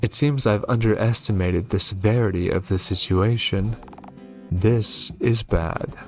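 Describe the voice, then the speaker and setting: A man with a low voice plays through a game on a recording with faint music behind him. He reads the on-screen text aloud in a dramatic way and drops in short comments as a host. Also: measured pace. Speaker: gaming video host.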